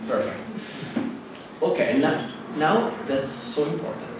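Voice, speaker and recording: A man with an Italian accent, a native Italian speaker, giving a classroom lecture in a halting way with many fillers and restarts. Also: on the high side, lively intonation. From Italy. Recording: echoey hall from far off with noise.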